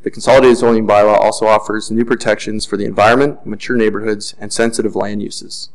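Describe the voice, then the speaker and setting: Man, city planning staff, formal measured reading, lowish voice, council chamber. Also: flat delivery, poor audio.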